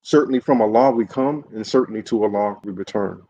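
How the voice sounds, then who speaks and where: A man, an imam, giving a livestreamed sermon in an earnest, halting way, with many fillers and restarts. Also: fairly low pitch, warm tone, highs cut off. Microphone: solo livestream setup.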